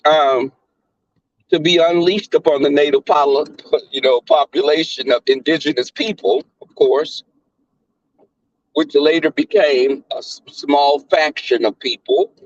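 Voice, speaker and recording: A Southern-accented man talks loosely on a live stream, crass and flamboyant, with a voice pitched a touch high that keeps jumping into squeals. The audio is dull and lo-fi, and the room is dry. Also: a little slow, no room sound. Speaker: livestream host. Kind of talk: casual live-stream chat.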